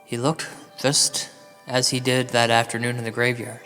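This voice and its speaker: A man telling a comedic ghost story as a storyteller, deadpan and hesitant, with mock-gothic dramatic pauses. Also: medium pitch. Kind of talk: slow comedic ghost-story narration, part verse recital. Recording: long hall reverb over music. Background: music.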